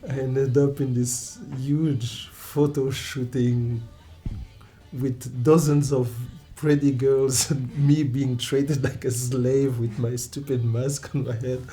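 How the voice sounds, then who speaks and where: A man in his thirties with a Romanian accent, a non-native English speaker, telling stories from his own life in an interview, halting and restarting often and laughing along. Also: medium pitch, flat delivery, mellow, faint music behind. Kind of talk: relaxed personal storytelling.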